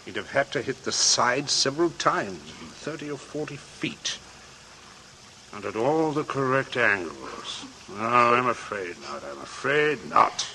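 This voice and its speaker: A man's voice: medium pitch, big pitch swings, takes it slowly, creaky, pushed and bright, exaggerated voice. An actor playing a pompous, domineering middle-aged English father in a 1940s radio drama, coldly scheming and exasperated. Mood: controlled, scheming. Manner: pompous, hectoring, imperious. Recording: noisy old radio drama recording.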